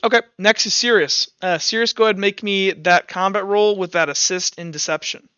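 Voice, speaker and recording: A man's voice: high-pitched, a little fast, pushed and bright, smooth, no room sound, dull audio. A young man running a tabletop roleplaying game as game master, talking informally and thinking aloud as he narrates.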